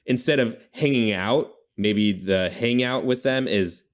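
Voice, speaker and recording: A man's voice: mid-range voice, a little slow. A man hosting a podcast, chatting casually and informally about video games in a rambling way. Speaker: podcast host.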